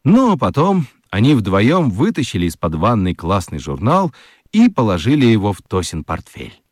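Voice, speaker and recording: A man narrating a children's radio story in an expressive, scripted storytelling style. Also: lowish voice, moderate pace, dry recording, studio quality.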